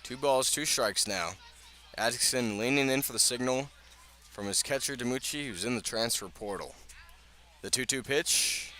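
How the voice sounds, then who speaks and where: Bright-voiced man calling baseball play-by-play. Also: mid-range voice, slight background noise. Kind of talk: live radio baseball commentary. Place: outdoors at a ballpark.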